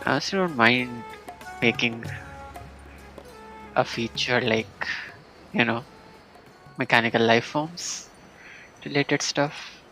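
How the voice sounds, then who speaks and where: A man's voice: mid-range voice, slow pace, long pauses, sharp tone, low-quality recording. A man giving casual live commentary as he plays a video game. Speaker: game streamer. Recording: faint game music behind.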